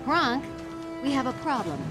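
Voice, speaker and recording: Young creature inventor, high, lively and eager, game cutscene with music behind. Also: female voice, unhurried. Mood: alarmed. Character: eager young female asura inventor-pilot, small goblin-like. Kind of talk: video game cutscene acting.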